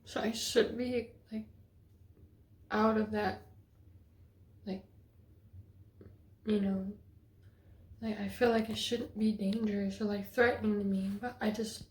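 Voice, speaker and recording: A woman's voice: mid-range voice, fairly even intonation, slow, long pauses, slightly rough. A woman talking in a casual video diary, hesitant and rambling with many fillers, sometimes dropping to near-whispers. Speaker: vlogger.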